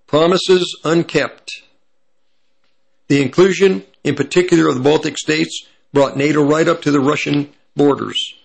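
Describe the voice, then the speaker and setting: A man with a slightly raspy voice from hay fever, giving prophecy commentary as he reads from an article and stops to correct himself. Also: on the high side, poor audio. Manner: pauses to correct himself mid-sentence.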